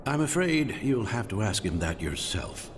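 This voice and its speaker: An actor playing a courteous, unctuous mayor's aide in a video game, speaking politely and formally. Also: male voice, lowish voice, very animated, moderate pace, background noise. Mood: smoothly deferential. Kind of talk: dramatic video game acting.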